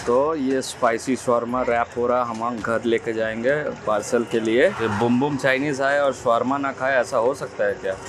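A man's voice: mid-range voice, steady tone, moderate pace, hardly any pauses, noisy background. A man in his thirties reviewing food in a casual, chatty way, mixing in English phrases, on a lapel mic in a restaurant. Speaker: food vlogger. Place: small restaurant indoors, later busy street outside.

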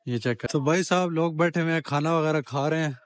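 A man's voice: on the high side, a little fast, no echo. A man giving a chatty walking-tour vlog of a street market, talking straight to viewers and bargaining with shopkeepers as he films. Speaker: travel vlogger.

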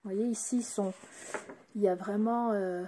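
A woman presenting craft products in a casual, hesitant way, pausing often with fillers while showing items to the camera. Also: medium pitch, fairly even intonation, dark tone, slight background noise.

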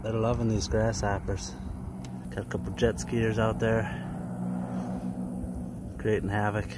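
A man's voice: fairly low pitch, flat delivery, unhurried, very noisy. A man in his thirties casually narrating a fishing trip, folksy and chatty, out on a lake in a kayak. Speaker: fishing vlogger. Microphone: action camera.

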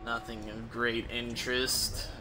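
A man's voice: medium pitch, monotone, unhurried, background noise. A young adult man giving casual, rambling gameplay commentary as a streamer, indoors at home. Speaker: game streamer. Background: game music.

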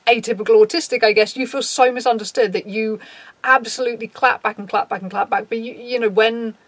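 A woman in her thirties with a low, raspy voice, talking frankly to camera in a casual vlog and swearing freely. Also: dry recording. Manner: frank, swears freely, reads out a list and reacts. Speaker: YouTuber. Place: small dim room, indoors.

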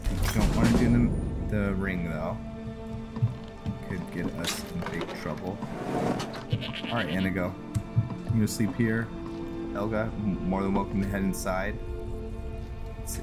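A man giving casual, low-key video game commentary, thinking aloud as he plays, with music behind him. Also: animated, measured pace, pauses often. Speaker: gameplay host.

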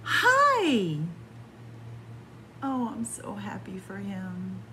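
A woman's voice: mid-range voice, a little slow. A woman reading a picture book aloud as a library story time reader, adding chatty, simple asides for young children. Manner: expressive, simple explanations for children. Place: small library room with bookshelves. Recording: light room background noise.

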